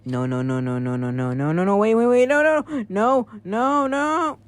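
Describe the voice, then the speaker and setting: A man's voice: high voice, animated, moderate pace, voice pushed up. A man playing a flustered, easily panicked emergency newsreader in an amateur alert parody, whose formal announcements lapse into rambling. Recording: noisy amateur home recording.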